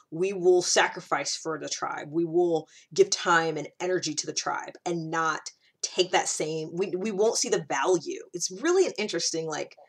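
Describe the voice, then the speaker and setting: A woman with a fairly low voice talks in a casual, explanatory monologue. She works through her ideas aloud in a conversational manner, putting emphasis on key words as she goes. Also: gravelly. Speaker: personality typing vlogger.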